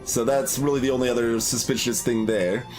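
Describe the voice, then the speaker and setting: A man's voice: medium pitch, fairly even intonation, a little fast, a little mellow. A man giving casual playthrough commentary, thinking aloud in a hesitant, rambling, analytical way. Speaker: game streamer. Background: background game music.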